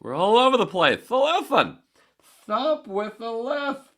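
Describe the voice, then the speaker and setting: A man's voice: very high-pitched, big pitch swings, unhurried, a bit bright, pitched above the performer's own voice, exaggerated voice. A man voicing a teasing, sing-song male heckler who mocks him in exaggerated tones.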